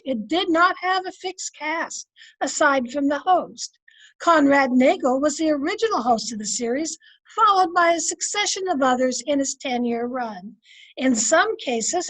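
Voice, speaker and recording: A woman's voice: high voice, fairly bright tone, low-quality recording. A woman announcing and narrating an old-time radio drama from a script.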